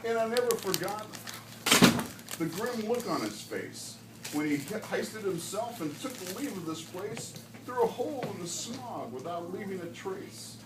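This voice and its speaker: Male storybook reader, dramatic and lively rhymed delivery, miked from a distance in a classroom. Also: high-pitched, unhurried. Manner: dramatic, emphatic. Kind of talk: expressive rhymed storybook reading. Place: school classroom. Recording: roomy, distant mic, background noise.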